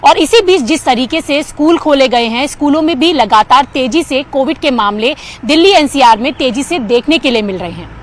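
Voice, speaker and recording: A woman's voice: sits high, animated, moderate pace. A woman speaking as a TV news field reporter.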